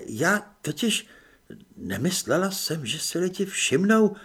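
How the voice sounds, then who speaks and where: A young woman in disguise speaks hesitantly and with embarrassment, her voice raised above the narration. It keeps a husky edge, as a male audiobook narrator does her. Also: high-pitched, very animated, a bit bright, pitched above the performer's own voice, full character voice.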